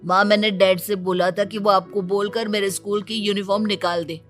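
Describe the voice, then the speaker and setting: A woman's voice: mid-range voice, level tone, normal speed, soft background music, natural voice. A woman voicing a lazy, spoiled teenage son with a whining, flippant manner, in a cartoon story. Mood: demanding, flippant. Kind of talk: Hindi cartoon moral-story acting.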